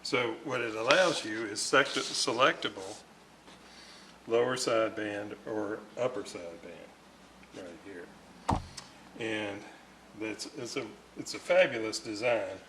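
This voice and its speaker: Slow, halting low-voiced man explaining technical work. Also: background noise. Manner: halting, searching for words, methodical. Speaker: radio restorer presenting repair videos. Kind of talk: hands-on technical explanation, unscripted.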